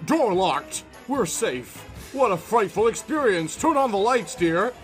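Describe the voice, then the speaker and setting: A man's voice: high voice, sing-song, unhurried, a bit bright, soft background music, pitched above the performer's own voice, exaggerated voice. A man voicing an alarmed, protective young newlywed husband in an earnest, melodramatic horror comic dramatization. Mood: anxious.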